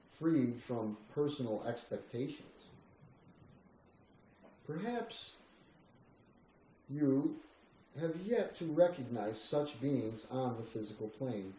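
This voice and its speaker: A man reads spiritual writing slowly and solemnly, leaving deliberate pauses between phrases. His voice is mellow, and the room adds a noticeable echo. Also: mid-range voice. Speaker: reader. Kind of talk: slow spiritual reading of a written text. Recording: roomy echo with faint background noise.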